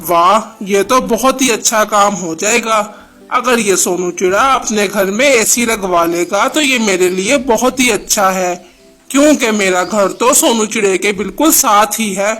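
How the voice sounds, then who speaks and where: Man voicing a high-pitched, bright, scheming cartoon crow. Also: unhurried, faint music behind. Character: scheming freeloading male crow, sly and self-satisfied. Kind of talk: children's cartoon story dialogue.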